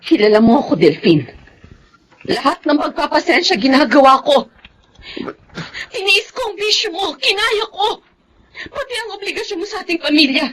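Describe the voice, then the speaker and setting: A woman's voice: sits high, animated, normal speed, pauses often, fairly bright tone. A woman acting in a Filipino radio drama as a long-suffering, devout mother, pleading yet firm and protective. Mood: impassioned defiance.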